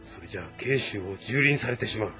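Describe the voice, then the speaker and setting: A man playing an earnest, cautious warlord of ancient China in a game drama, with a weighty, deliberate delivery. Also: on the low side, animated, pushed and bright, soft background music. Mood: troubled, resolute. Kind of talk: dramatic audio-novel acting in a video game.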